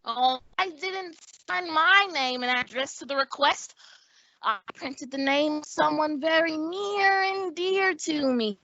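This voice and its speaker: A woman's voice: high-pitched, takes it slowly, bright, forceful tone, heavily acted. A woman playing an eager, mischievous teenage schoolboy in an old-time radio comedy. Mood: gleefully scheming. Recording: dull, muffled audio.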